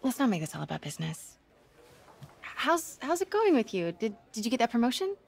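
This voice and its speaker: A woman's voice: fairly high pitch, sing-song, a little fast, gravelly, slightly rough, heavily acted. An actress playing a young female reporter in a video game, dry and teasing as she talks at a diner.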